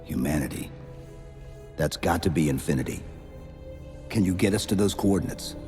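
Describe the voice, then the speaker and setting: An actor playing a stoic armored super-soldier who speaks in short, clipped commands, with game music behind him. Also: male voice, sits low, expressive, normal speed, darkish tone. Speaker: game character.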